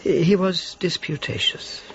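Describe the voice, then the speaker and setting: An actor playing a grave, careful and disappointed friar in a radio drama, speaking with some hesitation. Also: male voice, high voice, fairly even intonation, measured pace, a bit bright. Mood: measured, solemn. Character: grave, solid, disappointed male friar, measured and discreet. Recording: dull lo-fi radio drama, faint noise.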